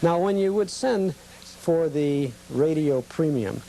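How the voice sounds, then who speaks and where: Mellow-voiced male interview host. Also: fairly high pitch, lively intonation, slow pace, gravelly, a little hiss or hum, poor audio. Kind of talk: conversational TV interview. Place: guest's home.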